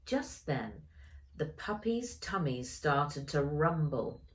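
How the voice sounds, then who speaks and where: A woman author reading her children's story aloud in an expressive storytelling style. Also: deep voice, slow pace.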